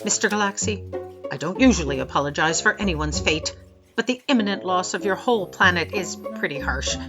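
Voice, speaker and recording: A woman's voice: on the low side, animated, brisk, over music, natural voice. A woman playing an imperious female boss, pompous and dryly authoritative, in a comic sci-fi audio drama. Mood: stern, condescending. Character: brisk, imperious female boss, blithe about doom.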